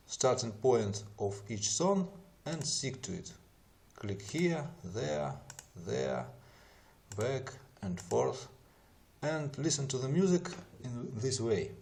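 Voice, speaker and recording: A man who is not a native English speaker narrates an explanatory software tutorial in a methodical, step-by-step way. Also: medium pitch, gravelly.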